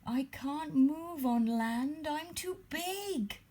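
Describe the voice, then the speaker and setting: A woman voicing a huge, helpless storybook whale with a slow, moaning delivery. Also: high-pitched, lively intonation, mellow, voice pushed up, exaggerated voice. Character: huge female-read whale, stranded, helpless and mournful.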